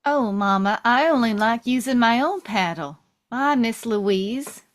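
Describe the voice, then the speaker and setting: A woman's voice: on the high side, expressive, unhurried. A woman playing a simple, sincere Southern man who tells his story, at a table read of a film script.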